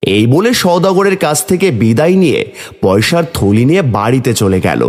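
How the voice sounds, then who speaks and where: A man tells a scripted folk tale for children in an expressive way. His voice is husky with animated swings in pitch, set in a long, hall-like reverb. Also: moderate pace. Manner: expressive storytelling. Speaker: narrator.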